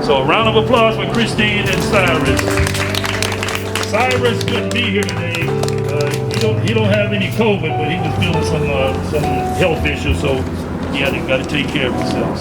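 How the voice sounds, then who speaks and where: Man, emcee, high-pitched, cheering, playful, music playing loudly. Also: rough recording. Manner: upbeat, cheering, playful teasing. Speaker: event emcee. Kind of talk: ceremony emcee speech.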